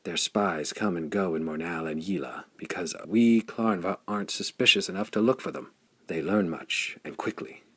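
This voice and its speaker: A man's voice: sits low, moderate pace, fairly bright tone, husky, dry recording. A man voicing a grave, bitter commander in an audiobook, speaking in formal, emphatic declamation. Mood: grim, weary. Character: grave, bitter male commander warning of raiders.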